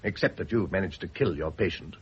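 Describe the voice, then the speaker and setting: Actor as evasive, low-voiced husband, noisy old recording. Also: male voice, a little fast, dull audio, natural voice. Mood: accusing. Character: evasive, defensive husband, quick to blame others. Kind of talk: radio drama acting.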